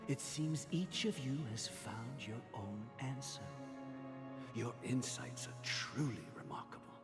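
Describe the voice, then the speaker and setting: An actor playing a kindly older father figure and mentor in a game drama, measured, formal and solemn. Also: mid-range voice, over music, lightly acted. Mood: benevolent, measured.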